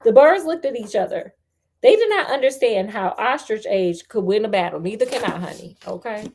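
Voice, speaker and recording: A woman's voice: mid-range voice, lively intonation, normal speed, a little mellow. An American woman reading a storybook aloud as host, with sassy, conversational asides to her listeners. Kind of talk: storybook read-aloud with casual running commentary.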